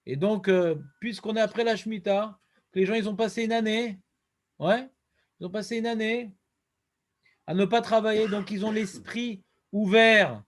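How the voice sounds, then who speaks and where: Rabbi, man, high voice, lively questioning teacher, quotes. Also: moderate pace. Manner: lively, questioning, quotes Hebrew verses in a chant-like cadence. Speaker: rabbi teaching a Talmud class. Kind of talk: Talmud lesson.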